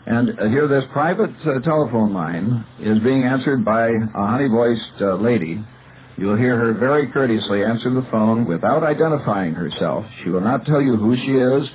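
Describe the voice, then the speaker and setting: An American man doing talk radio as a station owner, halting but insistent and lecturing. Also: mid-range voice, animated. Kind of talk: talk radio commentary and phone questioning. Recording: tinny, noisy shortwave radio broadcast.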